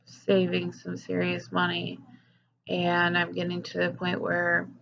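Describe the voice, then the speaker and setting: A woman's voice: fairly low pitch, completely flat delivery, slow pace, gravelly, fairly bright tone, highs cut off, slight background noise. A young woman talking candidly in a personal vlog, rambling with lots of fillers and swearing, her voice nasal and stuffed up from a cold. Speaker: vlogger.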